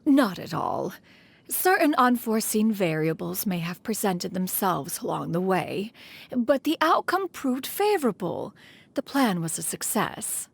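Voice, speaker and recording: A woman's voice: mid-range voice, very animated, gravelly, husky, heavily acted. A woman voicing a proud, protective, composed immortal auntie in a video game, speaking in formal, stately phrases. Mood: composed, reassuring. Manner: formal, stately, measured wording.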